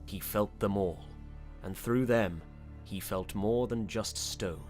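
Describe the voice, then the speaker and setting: Male narrator for dramatic fantasy audiobook: unhurried, intense, deliberate, on the deeper side. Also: darkish tone, soft background music.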